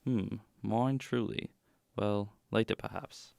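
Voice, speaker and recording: Man acting a creaky, dry-witted gentleman detective. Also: lowish voice, fairly even intonation, slow pace, no room sound, heavily acted. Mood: shrewd. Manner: dry, clipped, wry. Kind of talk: radio drama acting.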